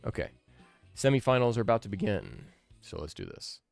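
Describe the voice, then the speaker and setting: A man's voice: on the low side, wildly swooping pitch, a little fast, creaky, no room sound, studio quality. A man in his thirties giving casual game commentary as a streamer, with wry, grumbling asides. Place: small indoor room, livestream setup. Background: game music.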